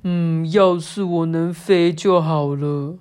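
A woman's voice: sits low, fairly even intonation, slow pace, darkish tone. A woman voicing an eager, boastful little pig from a children's storybook, in a declamatory, announcing manner. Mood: wishful.

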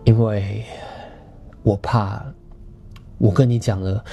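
A man's voice: lowish voice, fairly even intonation, slow pace, vocal fry, warm tone, dull audio, faint music behind. A man in his thirties with a Taiwanese Mandarin accent, hosting a podcast and telling a personal story in a theatrical, playful way. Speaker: podcast host.

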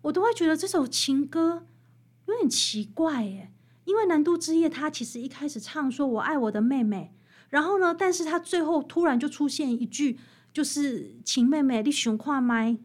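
A woman with a fairly high voice and a Taiwanese Mandarin accent speaks as a guest author. She explains in an expressive storytelling way, leaning on key phrases for emphasis. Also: moderate pace. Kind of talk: expressive explanatory interview talk.

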